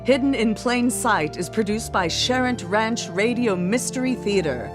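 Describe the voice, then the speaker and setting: Woman, radio serial announcer, scripted delivery, expressive pitch, mid-range voice, clear music behind. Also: a little slow.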